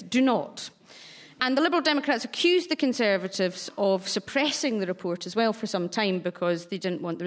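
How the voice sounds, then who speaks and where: A woman's voice: mid-range voice, fairly bright tone. A woman comedian on a radio panel show, telling a wry, self-mocking story.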